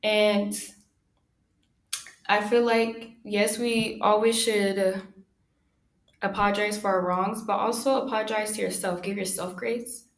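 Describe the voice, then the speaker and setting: A young woman hosting her own podcast, talking through personal thoughts in a soft, soothing voice, pausing often and using many fillers. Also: mid-range voice, steady tone. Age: young adult. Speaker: podcast host. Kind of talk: reflective personal monologue.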